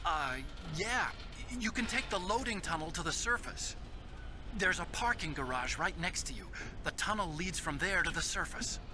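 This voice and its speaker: A man's voice: sits high, expressive, moderate pace, noisy background. An actor playing an earnest, nerdy young male engineer who helps out over the radio in a video game. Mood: helpful. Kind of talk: dramatic video game radio dialogue acting. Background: music.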